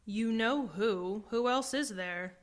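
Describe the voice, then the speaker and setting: Slow, bewildered exile. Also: female voice, a little high. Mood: confused, defensive. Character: earnest, bewildered female exile, free-thinking and guarded. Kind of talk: science fiction radio drama acting.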